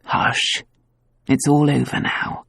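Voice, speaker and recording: A woman doing a soft-spoken, soothing husband. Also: measured pace, creaky, husky, dry recording, studio quality, full character voice. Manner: low, hushed, murmuring. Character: tender, protective young husband soothing his wife.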